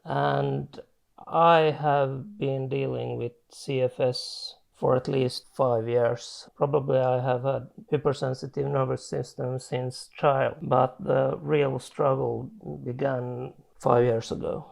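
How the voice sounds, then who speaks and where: Gravelly man in his forties. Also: mid-range voice, little pitch movement, a little mellow. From Finland. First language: Swedish. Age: 40s. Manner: halting, with frequent restarts and self-corrections in careful non-native English. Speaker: client giving a testimonial. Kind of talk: reflective personal testimonial.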